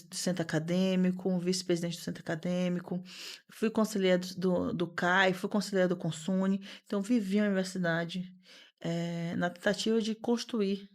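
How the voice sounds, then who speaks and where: An earnest woman in her thirties, speaking in the middle of her pitch range. She talks in a lively, conversational way as an interview guest, circling back with repeated words and fillers as she builds her points. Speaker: podcast guest.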